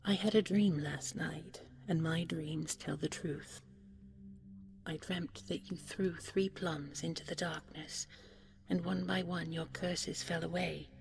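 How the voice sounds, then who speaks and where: A woman voicing a gracious, loving fairy-tale princess, softly and gently. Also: sits low, fairly even intonation, takes it slowly, gravelly, full character voice. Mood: loving, gentle.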